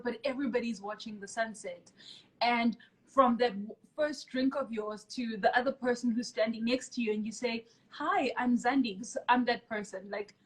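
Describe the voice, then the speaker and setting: Female host, slightly high pitch, conversational, emphatic storyteller. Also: rough recording. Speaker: podcast host. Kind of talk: casual conversational interview.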